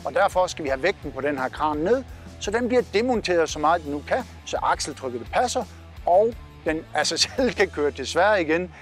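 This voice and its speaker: A cheerful, chatty man in his forties with a Southern Jutland Danish accent talks straight to camera. His voice sits fairly high for a man. He is recorded on a lapel mic outdoors, with faint music underneath. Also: big pitch swings. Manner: upbeat, chatty, pointing while talking. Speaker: trucker vlog host. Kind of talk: casual vlog narration to camera. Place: outdoors by parked trucks.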